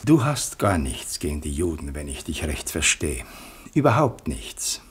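An indignant, principled man in a radio play. He is pointed and sardonic, with a husky voice and lively pitch. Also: unhurried, exaggerated voice. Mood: biting, indignant. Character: indignant, principled challenger with biting irony. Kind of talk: German radio play acting.

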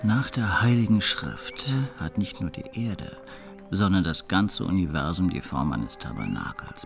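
An actor playing a learned, sly, greedy monk in a radio drama, lecturing and argumentative. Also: male voice, low voice, vocal fry, exaggerated voice.

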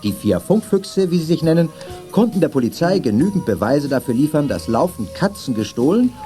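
A man's voice: fairly high pitch, sing-song, fairly quick, dark tone, faint music behind. An actor playing a jovial middle-aged father in a children's radio play, speaking with theatrical, playful ceremony. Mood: playful, mock-solemn. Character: jovial, mock-pompous father addressing family and guests.

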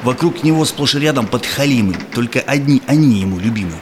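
An actor playing a proud, cynical Cossack robber in a rhymed verse audio play, declaiming emphatically. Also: male voice, medium pitch, lively intonation, quick pace, noisy background, natural voice. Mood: bitter, cynical.

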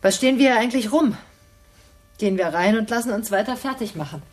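An actress playing an educated, sharp-tongued older woman in a radio drama, sarcastic, condescending and articulate. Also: a little high, expressive, fairly quick, slight background noise, natural voice. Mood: coaxing. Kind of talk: naturalistic radio drama acting.

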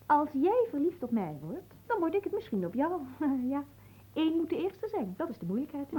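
A high-voiced, imperious young lady of noble birth in a comic radio play. Her melody leaps about theatrically as she teases and demands, haughty and easily wounded. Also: sing-song, moderate pace, warm tone, poor audio. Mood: mocking, coquettish. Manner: theatrical, haughty, swooping between teasing and wailing. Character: capricious, oversensitive young noblewoman, teasing and imperious.

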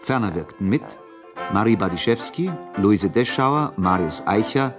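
Low-voiced male radio announcer over brass. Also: expressive, measured pace. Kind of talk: scripted credits announcement. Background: brass music.

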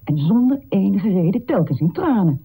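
A woman's voice: medium pitch, very animated, moderate pace, warm tone, husky, exaggerated voice, rough recording. An actress playing a worried, fussing middle-aged mother in a radio play, polite, formal and wordy. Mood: worried, complaining.

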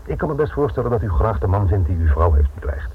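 A man's voice: on the low side, very animated, fast talker, slightly rough, heavily acted. A man acting in a radio play as a stern, sardonic police inspector, speaking formally in clipped, sceptical phrases. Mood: sardonic, lecturing. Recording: tinny, band-limited old radio recording with noise.

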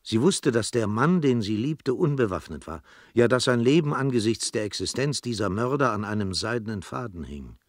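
Male narrator for literary radio drama: lower voice, scripted storytelling, close dry sound. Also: animated, moderate pace, hardly any pauses, dry recording.

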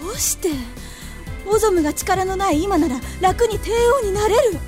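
A woman's voice: very high-pitched, normal speed, a little mellow. A woman playing a proud, jealous, passionate succubus in an audio drama, haughty and emotionally intense. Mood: bitter, hurt. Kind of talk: anime-style audio drama acting. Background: music.

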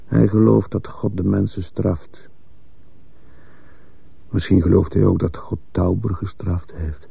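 An actor playing a brooding, guilt-ridden man in a radio drama, speaking gravely and deliberately with a gravelly, husky voice. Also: sits low, steady tone, mellow, dry recording, full character voice. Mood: grave, remorseful. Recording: dull lo-fi radio recording.

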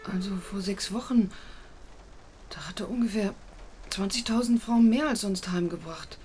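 Actress as a worried, halting, worn-out woman. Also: mid-range voice, darkish tone, a little hiss or hum, lightly acted. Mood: hesitant, worried. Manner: hesitant, halting, plain-spoken. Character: worried, worn-out pregnant working-class woman, plain and hesitant. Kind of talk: naturalistic radio drama dialogue.

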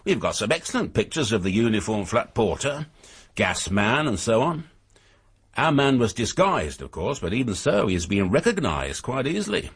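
An actor playing a shrewd, methodical British police inspector in a radio drama, speaking in a measured, formal way with a husky voice. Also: male voice, medium pitch, big pitch swings, exaggerated voice. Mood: calmly relentless.

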